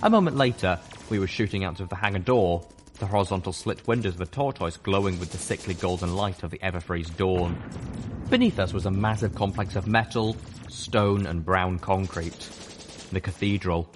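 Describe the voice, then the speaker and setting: Deep-voiced man reading a story. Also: a little hiss or hum. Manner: steady, measured reading. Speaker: audiobook reader. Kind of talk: first-person fiction narration. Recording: music playing underneath.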